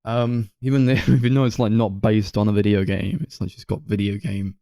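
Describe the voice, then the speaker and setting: A man's voice: fairly low pitch, little pitch movement, a little fast, creaky, warm tone, no echo, clean studio sound. A man co-hosting a film podcast, giving casual opinions on movies in conversation. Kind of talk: casual film review chat.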